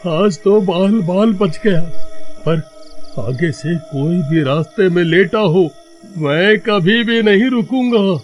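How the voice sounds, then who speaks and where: A man's voice: high-pitched, takes it slowly, faint music behind. A man playing a panicky, cowardly villager in a cartoon horror story, stammering, whimpering and pleading melodramatically. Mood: relieved. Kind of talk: animated horror-comedy story acting.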